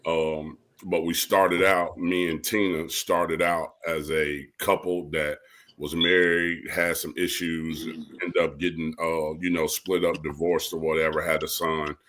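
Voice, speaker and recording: A man from Ohio explaining his stage role in a relaxed, offhand podcast chat. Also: rumbling low, a little slow. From Ohio, United States. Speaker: podcast guest, cast member. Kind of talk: casual chat.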